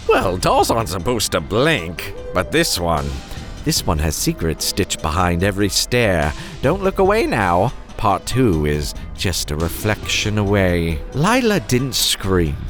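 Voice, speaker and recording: A man hosting and narrating a horror story in a theatrical, suspenseful style, with playful asides and dramatic pauses. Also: sits low, wildly swooping pitch, music in the background.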